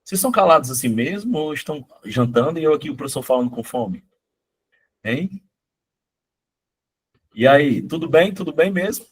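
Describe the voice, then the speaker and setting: A middle-aged man, hoarse from a cold, teaching a live online math exam-prep class in a chatty, coaxing way, often checking in with his students. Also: on the high side, lively intonation, moderate pace, pauses often. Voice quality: husky.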